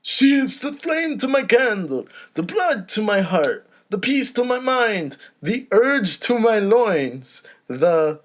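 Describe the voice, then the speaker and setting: A man playing a besotted, theatrical mafia Don in a comedy table read, effusive and drawn-out in delivery. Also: high voice, sing-song, voice pushed up, exaggerated voice. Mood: gushing, besotted. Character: gushing, theatrical mob boss Don, besotted with wife.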